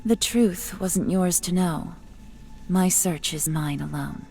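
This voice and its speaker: A woman playing a wise, guarded, mysterious wanderer in a video game, with measured, poised storytelling delivery. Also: fairly low pitch, gravelly, a little mellow, faint music behind.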